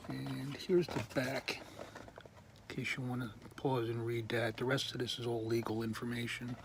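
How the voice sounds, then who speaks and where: A man slowly showing off his toy collection in a casual video, pausing often with ums and clearing his throat while losing his voice. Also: mid-range voice, little pitch movement, background noise. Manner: slow, halting, many ums and uhs, frequent throat clearing. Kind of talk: casual show-and-tell.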